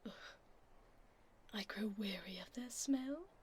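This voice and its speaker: A woman voicing a haughty but tender vampire duchess in a soft, whispered ASMR roleplay, with formal, aristocratic phrasing. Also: fairly high pitch, expressive, slow pace, slight background noise. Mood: weary, lofty.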